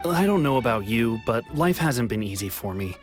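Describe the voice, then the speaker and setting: A man's voice: mid-range voice, animated, normal speed, lightly acted. A wistful young wanderer, voiced by a man, with a mid-range, earnest voice that drifts into sighs. He sounds tired yet sincere, over faint game music. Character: weary young man returned from exile. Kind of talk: video game voice acting.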